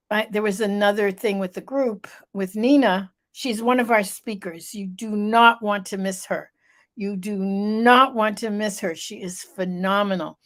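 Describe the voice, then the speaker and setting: Slow-talking stuffy middle-aged woman. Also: medium pitch. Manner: slow, storytelling, emphatic repetition. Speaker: vlog host. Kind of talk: live vlog reflection.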